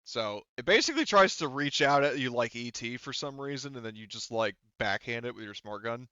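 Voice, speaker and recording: A man's voice: mid-range voice, expressive, a little fast, bright, forceful tone, clear, resonant tone, no room sound. A man acting as game master in casual tabletop play, informally thinking aloud as he works through rules on a slightly dull, dry microphone.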